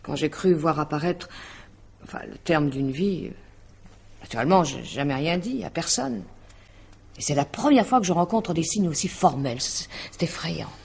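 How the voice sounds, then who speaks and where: An actress playing a weary, alarmed astrologer and bitter divorcée, hesitant and sighing, in 1950s French radio drama style. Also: female voice, sits low, expressive, normal speed, vocal fry, a little mellow, husky, heavily acted. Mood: troubled.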